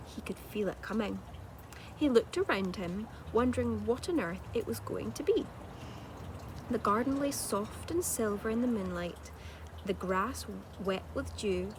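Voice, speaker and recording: A woman narrating a children's storybook like a teacher reading aloud, with measured, dramatic delivery. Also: medium pitch. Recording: clearly noisy home-made recording.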